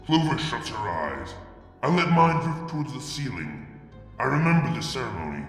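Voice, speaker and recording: A man reading fan fiction aloud, narrating in the first person with brooding, deliberate delivery and sudden drops to a hush. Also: mid-range voice. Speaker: fanfiction reader. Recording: reverberant room with long echo.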